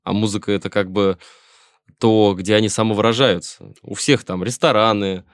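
A middle-aged man with a Russian accent, chatting as a musician guest in a relaxed interview. His voice sits low, and he rambles wryly, pausing on fillers and restarting his sentences. The sound is close and dry, with no room around it. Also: no room sound. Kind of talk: casual interview chat.

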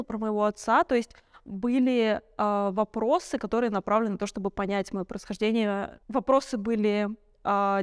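A young woman with a smooth, clean voice recorded close and dry. She speaks as a podcast guest, candidly sharing personal stories. Her manner is reflective, and she often feels for the right word. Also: on the high side, no echo. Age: young adult. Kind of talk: candid conversational storytelling.

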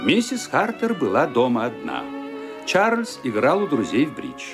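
Very animated male radio-play narrator. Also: medium pitch, measured pace, loud music behind the voice. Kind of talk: scripted dramatic storytelling.

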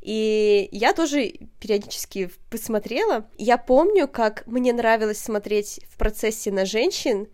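A young woman with a slightly high voice chats as a podcast co-host. Her delivery is informal and candid, like talk between friends. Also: lively intonation, measured pace, hardly any pauses, a little mellow. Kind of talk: candid casual conversation.

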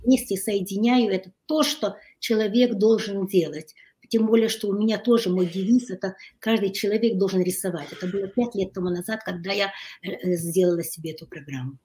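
A woman guest, a life coach and family therapist, talking in a halting way and searching for words, her voice strained after a recent illness. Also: mid-range voice, mellow. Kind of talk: casual interview talk.

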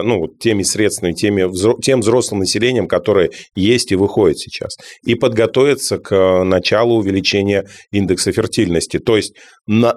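A male co-host in a discussion, speaking in a halting, measured way as he picks his words carefully. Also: on the low side, darkish tone. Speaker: co-host journalist. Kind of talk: discussion talk.

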